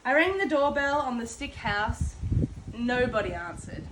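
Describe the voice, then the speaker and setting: A woman plays a chatty storybook wolf who insists he is innocent. The voice is high and takes its time over every phrase. He confides in the listener with folksy, exaggerated storytelling. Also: expressive, measured pace, a little hiss or hum, low-quality recording. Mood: folksy, confiding. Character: self-justifying chatty male wolf protesting his innocence. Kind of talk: children's storybook read-aloud.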